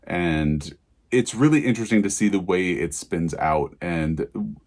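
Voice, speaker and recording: A man's voice: sits low, lively intonation, unhurried, a little mellow. A man giving a casual book review in a thoughtful, enthusiastic, discursive way.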